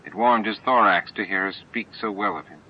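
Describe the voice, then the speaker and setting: A man's voice: fairly low pitch, a little fast, husky. A man narrating science fiction as a scripted audiobook. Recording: dull lo-fi audiobook tape with music.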